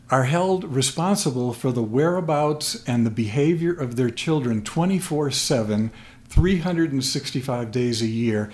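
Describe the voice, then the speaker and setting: An older man with an American accent, explaining things as an expert guest in an interview, in measured phrases with pauses. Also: medium pitch, darkish tone. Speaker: guest expert, sports sociologist. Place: small plain room at a table, indoors. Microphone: desk microphone on stand.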